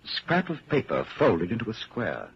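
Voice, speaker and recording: Low-voiced, dramatic radio narrator man. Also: huge pitch swings, normal speed, creaky, exaggerated voice. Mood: grave, suspenseful. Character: grave narrator telling the listener his own story in second person. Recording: scratchy, muffled 1940s radio broadcast.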